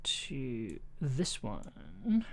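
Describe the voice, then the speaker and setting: A man's voice: mid-range voice, wildly swooping pitch, drawn-out words, slight background noise. A man in his thirties thinking aloud in casual, chatty live commentary as he edits audio on a stream. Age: 30s. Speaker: streamer and audio drama editor.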